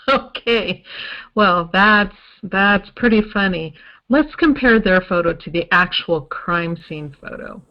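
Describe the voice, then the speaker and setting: A woman's voice: medium pitch, lively intonation, slow. A woman playing a skeptical, decisive private investigator in an old-time radio style drama. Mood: incredulous. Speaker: investigator in an amateur audio drama. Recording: slightly dull lo-fi sound.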